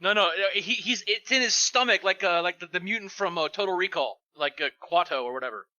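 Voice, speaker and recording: Bright, high-pitched man bantering. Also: quick pace, no echo, highs cut off. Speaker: co-host of a fan fiction show. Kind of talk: casual joking banter.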